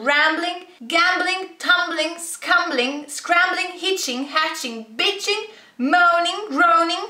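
A young woman giving an expressive, emphatic dramatic reading of a letter. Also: high-pitched. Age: young adult. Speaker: video creator reading a letter aloud. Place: small bedroom, indoors. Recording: roomy bedroom echo.

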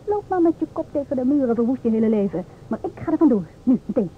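An actress playing an outspoken, sharp-tongued woman in a Dutch radio play, with an assertive, mocking, theatrical delivery. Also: sits high, expressive, quick pace. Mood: indignant. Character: outspoken, sharp-tongued divorced woman lecturing two inspectors. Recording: old radio, thin phone-like sound, noisy.

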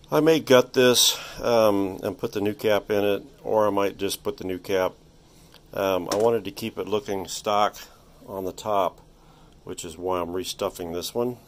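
Man, low voice, casual workshop walkthrough, halting, folksy, thinking aloud. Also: a little hiss or hum. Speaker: hobbyist electronics restorer presenting his repair. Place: indoor workshop.

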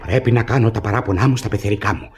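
An actor playing a bitter, self-pitying commoner husband in a radio comedy, exasperated and full of lament. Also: male voice, fairly low pitch, over music, natural voice. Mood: indignant. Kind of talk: radio theatre comedy acting.

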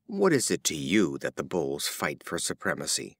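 A man's voice: a bass voice, huge pitch swings, normal speed, fairly bright tone, no echo, clean studio sound, pitched below the performer's own voice, exaggerated voice. Man voicing a young frog, storybook fable, thoughtless, dismissive.

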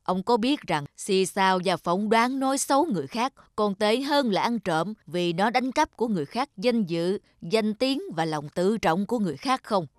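A woman voicing a stern, wise male magistrate in a cartoon folk tale, speaking in a measured, lecturing way. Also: medium pitch, lively intonation, no echo, studio quality, close to an everyday voice. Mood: grave lecturing.

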